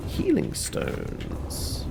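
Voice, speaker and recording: A man's voice: very slow, heavy background noise. A man giving casual, chatty video game commentary, playfully thinking aloud to himself.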